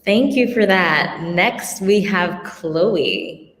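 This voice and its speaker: Slow woman moderator in an echoey hall. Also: lowish voice, fairly bright tone. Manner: brief handovers between guests. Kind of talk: moderating a webinar panel.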